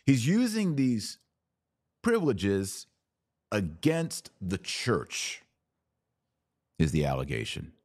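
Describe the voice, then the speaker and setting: A man hosting a podcast and giving live opinion commentary in deliberate, emphatic short phrases. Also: lowish voice, wildly swooping pitch, darkish tone, no room sound, studio quality.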